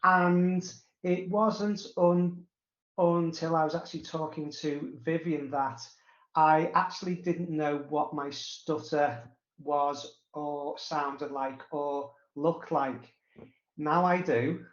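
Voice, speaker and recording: Male interview guest with a stutter, slow halting delivery, roomy and muffled recording. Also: on the high side, fairly even intonation. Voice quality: stutter with blocks, repetitions and drawn-out pauses. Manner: halting, frequent fillers. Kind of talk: casual personal recollection. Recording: roomy, echoey, dull sound.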